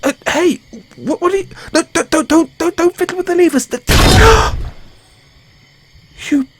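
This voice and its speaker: A man playing an excitable, anxious and tender mad scientist boyfriend in an intimate audio roleplay, speaking with halting stammers. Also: very high-pitched, music in the background. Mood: panicked. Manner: halting, stammering, breathy hesitations.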